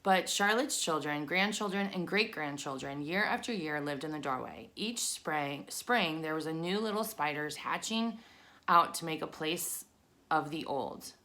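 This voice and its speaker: A woman's voice: sits low, expressive, unhurried, bright, forceful tone. A woman narrating a children's book as she reads it aloud. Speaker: storybook reader.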